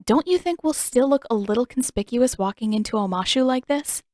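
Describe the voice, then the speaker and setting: A woman's voice: a little high, lively intonation, brisk, warm tone, no echo, clean studio sound, pitched above the performer's own voice, natural voice. A woman voicing a caring, sensible teenage girl in a fan-made audiobook reading. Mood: cautioning.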